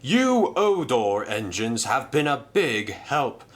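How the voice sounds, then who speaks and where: A man's voice: medium pitch, very animated. A man voicing a cheerful, naive male steam engine in a storybook reading, upbeat and oblivious. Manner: upbeat, oblivious, slow and sunny.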